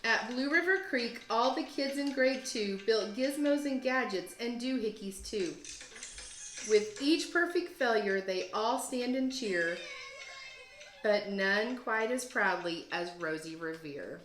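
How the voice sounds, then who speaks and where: Bright-voiced woman teacher in an echoey room. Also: a little high, slow. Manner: encouraging, self-deprecating. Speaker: second grade teacher, guest reader. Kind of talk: read-aloud storytelling and casual explaining for children.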